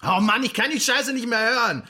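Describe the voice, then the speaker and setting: An actor playing a fed-up, sarcastic prison cellmate in a crime radio play, blunt and mocking. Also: male voice, very high voice, fairly quick, bright, forceful tone, heavily acted. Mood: irritated, mocking.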